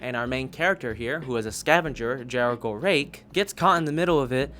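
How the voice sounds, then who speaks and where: A man's voice: mid-range voice, fairly quick, pushed and bright. A man giving a casual, enthusiastic review as a YouTube host, gushing, emphatic and swearing freely. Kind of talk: casual enthusiastic review, recommending audio dramas. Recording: music playing under the voice. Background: background music.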